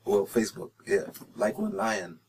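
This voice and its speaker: A man's voice: fairly low pitch, big pitch swings, moderate pace, a little mellow, breathy edge. A man with a slight Jamaican-sounding lilt, answering interview questions in a recording studio and pronouncing his words carefully, with many "you know"s. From New Jersey, raised in North Carolina. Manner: careful enunciation, frequent "you know" and restarts. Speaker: reggae singer-songwriter, interview guest.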